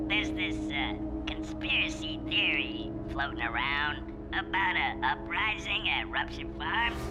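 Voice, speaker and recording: A man playing a deferential, hesitant security chief who reports to his boss in an animated game cutscene. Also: a little high, rough recording. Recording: loud music behind the voice.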